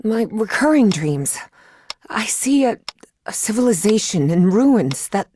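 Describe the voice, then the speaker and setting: An actress playing a wary young woman held for questioning in a dramatic video game, halting but defiant. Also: medium pitch, very animated, mellow, slight background noise. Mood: hesitant. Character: defiant, shaken young female captive under interrogation.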